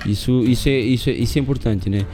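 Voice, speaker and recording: A man from Cape Verde speaks as an interview guest, at a middling pitch. He talks emphatically and repeats words while searching for them. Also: quick pace, warm tone. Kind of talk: interview conversation.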